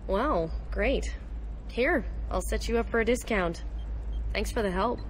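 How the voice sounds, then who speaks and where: A woman's voice: a little high, unhurried, slight background noise, lightly acted. A woman playing a shifty swindler who poses as an undercover cop in a video game, with an evasive, bluffing manner. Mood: sly, bluffing.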